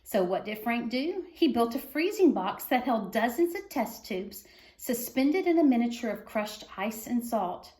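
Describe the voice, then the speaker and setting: Female storyteller for a kids' picture book read-aloud, fairly high voice, expressive phrasing, echoey room. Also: a little slow. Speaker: reader of a children's book.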